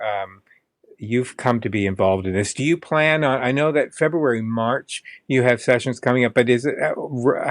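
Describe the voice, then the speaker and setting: A man's voice: mid-range voice, no echo. Man interviewing, close dry sound. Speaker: podcast host, interviewer. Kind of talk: conversational interview questions.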